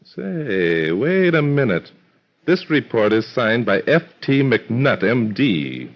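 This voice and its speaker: Genial male sleuth, lively swooping intonation, wry and polite, vintage radio drama with a dull recording. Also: mid-range voice, takes it slowly, heavily acted. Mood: inquisitive, measured. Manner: polite, wry, good-humored. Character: genial, courteous, quick-witted male mystery investigator. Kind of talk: old-time radio mystery acting.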